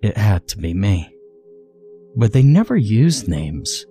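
Low, mellow male horror narrator. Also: sing-song, slow, faint music behind. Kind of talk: scripted first-person creepypasta narration.

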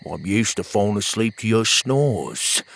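A man voicing a cheerful young male friend who turns his r sounds into w, bright and teasing, in a storybook reading. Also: fairly low pitch, lively intonation, measured pace, vocal fry, heavily acted. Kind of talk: storybook character voice.

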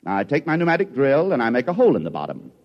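An actor in an old-time radio drama playing a smug, condescending schemer who fancies himself a great artist and talks theatrically. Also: male voice, medium pitch, wildly swooping pitch, very fast, muffled recording. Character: smug, self-styled artist schemer, condescending, grandly confident. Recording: reverberant old radio recording.

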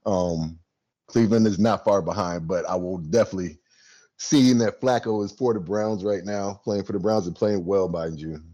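A man's voice: low voice, moderate pace, warm tone, highs cut off. A man co-hosting a casual sports podcast, talking in a laid-back, wry and joking way. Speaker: podcast co-host.

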